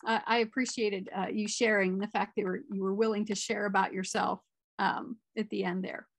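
A woman's voice: medium pitch, little pitch movement, a little fast, creaky, no echo. A middle-aged woman moderating a conference Q&A over a video call.

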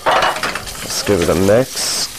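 A man's voice: sits low, extremely slow, very noisy. A middle-aged man, a chef, walking through a recipe on a TV cooking segment in a studio kitchen. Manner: relaxed, talks while cooking, brief fillers. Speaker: restaurant chef, guest. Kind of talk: cooking demonstration.

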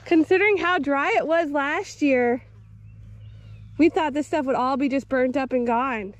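A woman's voice: high voice, unhurried. A young woman chatting easily to a handheld camera while walking outdoors by woods and fields, explaining things as she goes. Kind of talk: casual vlog narration. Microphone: handheld camera at arm's length.

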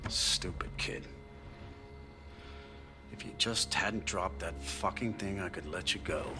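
A man's voice: sits low, slow pace. A man playing a cruel, corrupt older American police chief in a video game, commanding, sneering and foul-mouthed. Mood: bitter muttering. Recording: reverberant hall with music behind.

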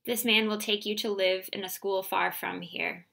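A woman voicing a gentle, defeated father and community chief in a read-aloud story, speaking softly and calmly. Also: mid-range voice, measured pace. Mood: sad. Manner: soft, calm.

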